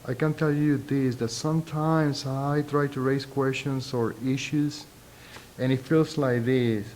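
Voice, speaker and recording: A middle-aged man and native Spanish speaker with a thick Puerto Rican accent, giving reflective, halting, deliberate interview answers as a university professor. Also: steady tone, a little mellow. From Puerto Rico. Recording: noisy, low rumble behind.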